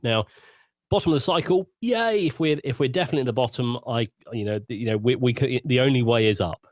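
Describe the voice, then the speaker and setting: A man's voice: medium pitch, fast, no echo. A man chatting casually and candidly as a podcast panelist, in a self-deprecating way. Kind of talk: casual chat, personal anecdote.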